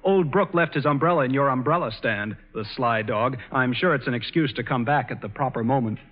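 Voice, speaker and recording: An actor playing a teasing, jovial young gentleman with playful theatrical delivery in a 1940s radio drama. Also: mid-range voice, a little fast, close to an everyday voice. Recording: tinny old radio broadcast.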